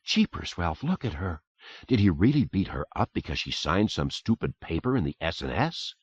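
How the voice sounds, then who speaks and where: A woman's voice: rumbling low, sing-song, normal speed, husky, no room sound, highs cut off, pitched above the performer's own voice, full character voice. A man voicing a nervous, doubtful young woman working as a clerk. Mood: hushed. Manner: hesitant, anxious.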